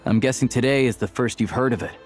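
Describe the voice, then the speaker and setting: An actor playing a guarded but quietly kind village man in a game cutscene, matter-of-fact, with music behind him. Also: mid-range voice, quick pace, lightly acted. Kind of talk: video game cutscene acting.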